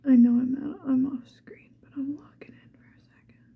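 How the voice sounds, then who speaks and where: Woman, high-pitched, breathy whisper, casual ASMR chat, unhurried, slightly muffled recording. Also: level tone. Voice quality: breathy whisper, mostly unvoiced. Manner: casual, unhurried, self-deprecating murmured asides. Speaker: ASMR video creator. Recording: dull, muffled sound with faint noise.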